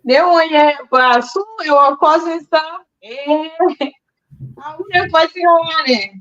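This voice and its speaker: Liberian woman host, fairly high voice, easygoing storyteller who laughs a lot, muffled stream audio. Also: slow pace. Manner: laughs often, relaxed storytelling with colloquial slang. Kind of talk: casual chat livestream.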